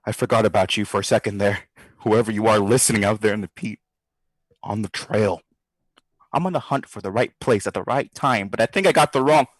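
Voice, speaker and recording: A man's voice: on the low side, brisk, husky, dry recording, low-quality recording. An actor playing a drunken, rambling, agitated man hunting for a door, talking to an unseen listener in an audio drama. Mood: confiding. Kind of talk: audio drama found-tape monologue.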